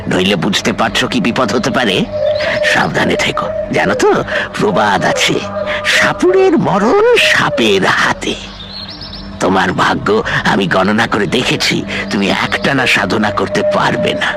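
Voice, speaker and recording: A man playing an older, solemn tantric guru in a dramatised horror audio story, speaking gravely and emphatically. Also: fairly low pitch, exaggerated voice. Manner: grave, emphatic, theatrical delivery. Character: solemn, commanding male tantric guru warning his disciple.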